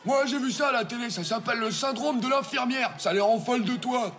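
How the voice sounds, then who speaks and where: A man's voice: very high voice, fairly quick, a bit bright. A man voicing a know-it-all schoolboy in a cartoon, explaining things in a knowing, matter-of-fact way. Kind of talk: French animated comedy acting.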